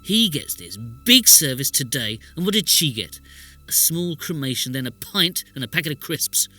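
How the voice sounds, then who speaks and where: A man's voice: fairly high pitch, very animated, moderate pace, sharp tone, soft background music, heavily acted. A man playing a resentful poor relation of a village lord in a podcast drama, speaking in an aggrieved, emphatic way. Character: bitter, resentful brother of a wealthy village lord. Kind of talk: podcast audio drama acting.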